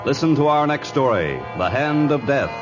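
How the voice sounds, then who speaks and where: A man's voice: mid-range voice, expressive, normal speed. A man announcing in formal, showy 1950s radio style. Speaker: announcer reading credits. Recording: dull old radio recording, music behind.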